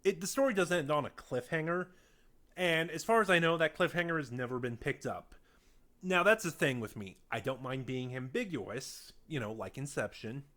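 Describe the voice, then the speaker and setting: A man reviewing audio dramas in a casual, unscripted monologue, speaking haltingly with emphatic points and quieter trailing asides. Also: on the high side, lively intonation.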